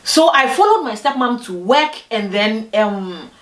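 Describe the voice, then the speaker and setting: A young woman from Ghana telling personal stories to camera in a lively way, acting out what other people said. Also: unhurried. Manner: animated, acts out quoted people with big pitch jumps. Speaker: vlogger. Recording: echoey room, voice from a distance.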